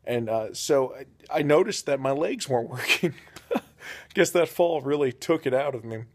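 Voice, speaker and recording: A young man telling an absurd comic story off the cuff, rambling and deadpan with many fillers. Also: mid-range voice, normal speed, background noise. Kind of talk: improvised comedic storytelling.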